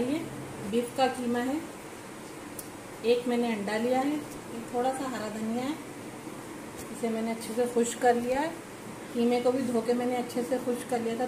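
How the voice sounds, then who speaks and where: A woman with a fairly high voice explains a home recipe step by step in a calm, instructive way, repeating key points as she works. Her voice is recorded in an echoey kitchen. Clear background noise runs underneath. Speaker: cooking channel host. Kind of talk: home cooking demonstration.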